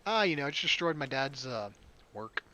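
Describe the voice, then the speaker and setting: A man's voice: medium pitch, big pitch swings, brisk, sharp tone. A man hosting a gaming video, reading a visual novel aloud expressively and dropping in casual asides.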